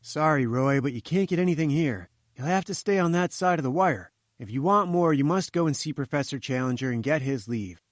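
A man's voice: fairly high pitch, animated, a little fast, hardly any pauses, dry recording, studio quality, close to an everyday voice. A man doing a breezy young journalist. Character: brisk young male journalist.

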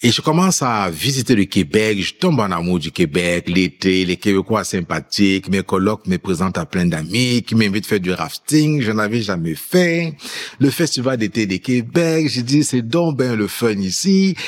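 A man's voice: lowish voice, lively intonation, moderate pace. A man telling a personal story in an interview, acting out bits of dialogue as he recounts it. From immigrant who lived in France before Quebec. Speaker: guest telling his faith story.

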